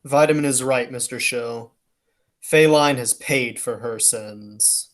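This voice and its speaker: A woman's voice: extremely low pitch, slow pace, loud music behind the voice. A woman playing a heroic, earnest male police detective in a 1940s style radio play. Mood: grave. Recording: faint noise and clicks.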